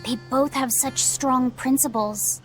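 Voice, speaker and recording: An actress playing a gentle, earnest, innocent youngster in a video game. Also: female voice, high voice, steady tone, slow, soft background music, poor audio.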